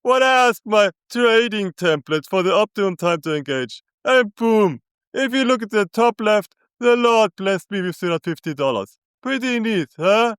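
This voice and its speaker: A man with a German accent mimicking a rambling, dim-witted, folksy male trading guru with a halting drawl full of "uh"s. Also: sits high, expressive, a bit bright, clear, resonant tone, no room sound, studio quality, voice pushed up. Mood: mocking.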